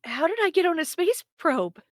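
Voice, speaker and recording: A woman's voice: very high-pitched, big pitch swings, moderate pace, dry recording, studio quality. A woman playing a bewildered, anxious space probe in a podcast table read, hesitant and stammering. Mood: panicked. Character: bewildered newly awakened space probe, anxious, childlike, pleading.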